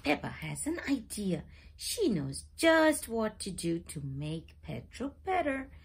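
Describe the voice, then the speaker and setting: A woman reading a children's picture book aloud in a slow, expressive storybook manner. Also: medium pitch, vocal fry, slight background noise. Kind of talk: read-aloud narration.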